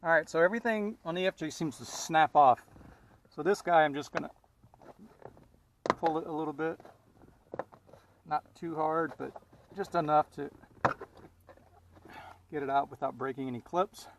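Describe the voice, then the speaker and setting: A man giving a casual DIY tutorial as he works, thinking aloud in an informal, halting way. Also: on the high side, background noise. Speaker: DIY car vlogger. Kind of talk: casual hands-on installation tutorial, talking while working.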